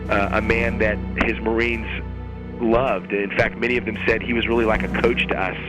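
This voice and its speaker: Low, even-voiced man over faint music. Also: normal speed, rough recording. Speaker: military officer. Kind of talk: first-hand recollection.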